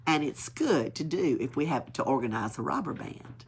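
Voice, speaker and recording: A woman's voice: sits low, expressive, normal speed, a little hiss or hum. A woman voicing a bold, cheeky young girl in a storybook, playful and full of confidence. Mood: cheerful, confident. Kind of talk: storybook read-aloud.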